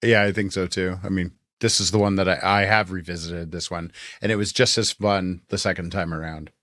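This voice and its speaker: A man's voice: low-pitched, steady tone, fairly quick, fairly bright tone, no room sound, clean studio sound. A man co-hosting a podcast, chatting casually and giving his opinions on stories. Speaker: podcast co-host. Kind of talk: casual review chat.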